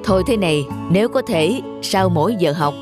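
A man playing a stern but kindly middle-aged father in an animated moral tale, speaking in a measured, instructive way over music. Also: sits high. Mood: firm, kindly. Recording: music under the voice.

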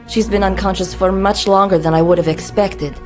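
An actress playing a cool, detached scientist working for villains in a video game cutscene, speaking precisely. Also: female voice, medium pitch, fairly even intonation, brisk, natural voice. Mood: clinical. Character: cool, clinical female scientist serving villains. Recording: music laid under the voice.

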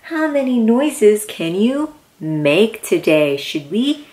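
A woman in her thirties reading a picture book aloud to young children in an excited, encouraging, exaggerated way. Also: mid-range voice, slow pace. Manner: exaggerated, sing-song animal noises and questions. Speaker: children's read-aloud host. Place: indoor room with plain wall.

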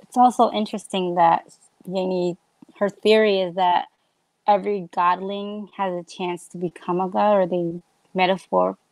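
A woman's voice: mid-range voice, darkish tone, dry recording, poor audio. A woman chatting casually in a book discussion on a video call, musing and tentative. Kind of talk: casual group book chat. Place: indoor room, video call.

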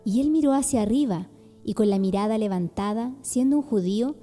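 A woman's voice: on the high side, a little fast, mellow, faint music behind. A woman giving sermon-like expository narration in a reflective way.

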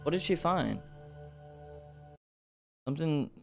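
A man hosting a reaction video, giving excited, casual commentary in slangy, exclamatory bursts. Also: fairly high pitch, slow, gravelly, faint music behind.

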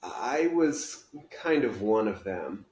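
A man's voice: lowish voice, big pitch swings, slow pace, a little mellow, slight background noise. A man reading a children's book aloud as an earnest, awkward young schoolgirl, with hesitant delivery. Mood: guilty, downcast. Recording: roomy living-room echo.

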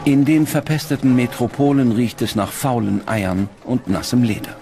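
A man reading scripted documentary narration in standard German, with a measured, grave delivery. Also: medium pitch, fairly even intonation. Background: background music.

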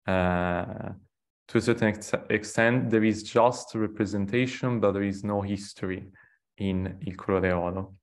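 Man giving a halting, measured lecture. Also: fairly low pitch, steady tone. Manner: measured, halting delivery with frequent fillers and restarts. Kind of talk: academic lecture. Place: small room indoors. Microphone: webcam on a video call.

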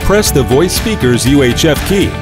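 A man's voice: a little high, big pitch swings, moderate pace. Man narrating a product tutorial over music. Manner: instructional, step-by-step. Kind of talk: scripted narration. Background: background music.

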